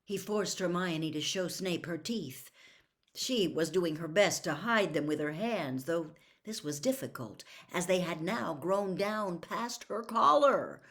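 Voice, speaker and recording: A woman narrating a story as a dramatic audiobook reader, expressive and deliberate. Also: low voice.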